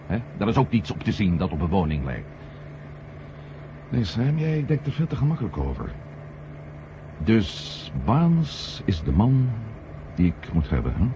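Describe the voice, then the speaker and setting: An actor playing an insistent, argumentative former astronaut turned journalist in a 1970 radio play, in speech. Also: male voice, fairly low pitch, wildly swooping pitch, unhurried, long pauses. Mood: earnest, argumentative. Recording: dull, noisy old radio recording.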